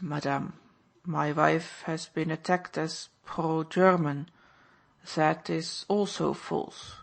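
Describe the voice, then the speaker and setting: A grave impostor baron speaks slowly and formally, as a woman narrator plays him. Every word comes out measured and a touch low. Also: vocal fry, exaggerated voice. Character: man posing as a foreign baron, grave.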